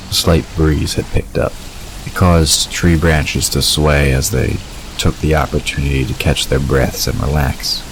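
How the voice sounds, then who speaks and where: Very deep-voiced male story narrator. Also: slight background noise, rough recording. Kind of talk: scripted story narration for audio drama.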